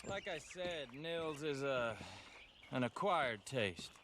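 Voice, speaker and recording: An actor playing an ingratiating, smooth-talking frontier middleman in a video game cutscene. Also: fairly high pitch, lively intonation, slow, background noise. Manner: salesy and ingratiating.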